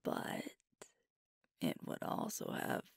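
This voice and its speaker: A woman playing an affectionate, teasing werewolf girlfriend in an ASMR roleplay, speaking softly and intimately close to the microphone. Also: no room sound, close to an everyday voice. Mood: playful teasing. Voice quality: soft, breathy, whispery. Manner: slow, tender, playful, with pauses.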